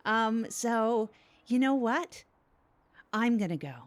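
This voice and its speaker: A woman playing a casual, evasive podcast co-host, bantering in a halting, offhand way. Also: fairly high pitch, expressive, over music. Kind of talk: improvised comedy podcast banter.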